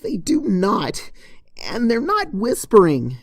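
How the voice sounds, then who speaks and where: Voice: medium pitch, huge pitch swings, takes it slowly, breathy edge, no echo, studio quality, voice pushed up, exaggerated voice. A reader voicing a flustered, snippy young female pony who gets indignant and defensive in a storybook reading. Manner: indignant, defensive, faltering when embarrassed. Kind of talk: storybook character voice in fan fiction reading.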